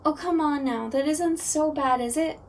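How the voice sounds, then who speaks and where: A woman's voice: sits high, moderate pace, slight background noise. A woman playing a cheerful, pun-loving young ghost in an audio drama, perky and breezy. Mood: breezy, playful.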